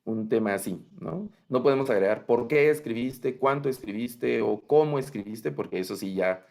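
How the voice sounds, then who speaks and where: A man's voice: mid-range voice, moderate pace, vocal fry, darkish tone. A man with a Latin American Spanish accent teaching a Bible language class online, explaining patiently and often checking in with his students. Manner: patient, explanatory, frequent "¿verdad?" check-ins.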